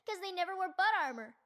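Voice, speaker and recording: A woman's voice: very high voice, sharp tone, heavily acted. A woman voicing a brave, playful little boy hero in a video game, childlike, exuberant and earnest. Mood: bold, heroic. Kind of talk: video game character voice lines.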